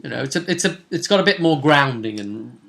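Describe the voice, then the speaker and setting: A man's voice: medium pitch, expressive, brisk, gravelly, fairly bright tone. A man acting as game master, chattily recapping a tabletop role-playing session in an informal, rambling way. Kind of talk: casual conversational recap.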